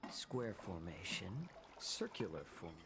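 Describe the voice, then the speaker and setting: An actor playing a calm, measured, scheming man in a video game. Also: deep voice, exaggerated voice. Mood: calm, pondering. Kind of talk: scripted video game voice acting. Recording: game audio under loud background music.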